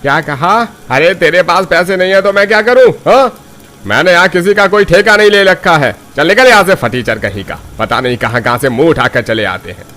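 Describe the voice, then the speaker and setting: An actor playing a rude, mocking street food seller in a cartoon story. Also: male voice, on the high side, lively intonation, fairly quick, sharp tone. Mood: scornful, mocking. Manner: mocking, contemptuous.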